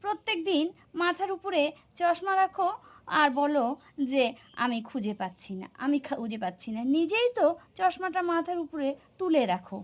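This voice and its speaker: A woman plays a young cartoon son with a very high voice. He is chatty and easily exasperated, pressing his point insistently. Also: big pitch swings, normal speed. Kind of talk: cartoon voice acting.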